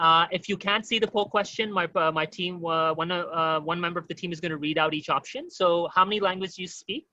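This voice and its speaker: A man teaching an online exam-prep webinar, talking quickly and informally to his audience with many fillers. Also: sits high, steady tone, pushed and bright, no room sound, lo-fi sound.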